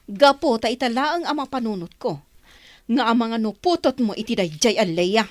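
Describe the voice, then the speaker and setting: A woman playing a harsh, resentful mother in an audio drama, scolding and sharp-tongued. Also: fairly high pitch, lively intonation, normal speed, no echo, lightly acted. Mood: resentful, suspicious. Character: harsh, resentful mother, suspicious of her adopted son.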